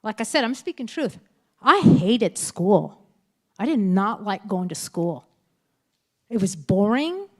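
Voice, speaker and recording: A woman of fifty-nine from California, Spanish her first language, giving a frank, lively keynote speech at a school assembly and talking straight to the audience. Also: mid-range voice, takes it slowly, long pauses. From California, United States. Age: 50s. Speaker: keynote speaker. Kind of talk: candid motivational speech.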